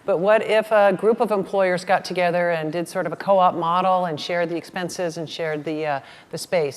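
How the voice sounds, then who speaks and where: A woman moderating a conference session in a friendly, conversational way, thinking aloud between speakers. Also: on the low side. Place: large conference hall with audience. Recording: reverberant hall with audience murmur.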